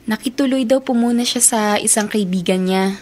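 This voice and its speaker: A Filipino actress playing a resentful young daughter in a radio drama, speaking earnestly and respectfully. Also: female voice, on the high side, moderate pace, a little mellow, a little hiss or hum, lightly acted. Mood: troubled. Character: resentful young daughter confiding in her grandmother.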